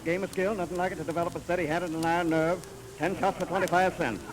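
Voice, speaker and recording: A man's voice: sits high, steady tone, quick pace, lightly acted. A man playing a curt, wisecracking American carnival booth operator in 1930s radio comedy acting. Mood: brisk patter. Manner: curt, slangy. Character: brusque, wisecracking male carnival ticket seller and gallery operator. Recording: noisy vintage 1930s radio recording.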